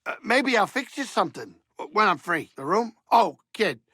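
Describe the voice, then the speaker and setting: An actor playing a cranky but soft-hearted older alien saloon keeper in a video game, gravelly, wisecracking and folksy. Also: male voice, sits high, moderate pace, no echo, clean studio sound, exaggerated voice. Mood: cheerful, boastful. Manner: grumbling, wisecracking, excitable, folksy. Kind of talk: video game character dialogue.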